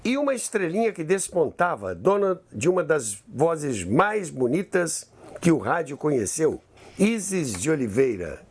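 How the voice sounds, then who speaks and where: A Brazilian man hosts a scripted history narration. His voice sits fairly high and swings widely in pitch. He tells it like a practised storyteller, with measured, emphatic phrasing. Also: sing-song, husky. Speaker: host of a radio history show.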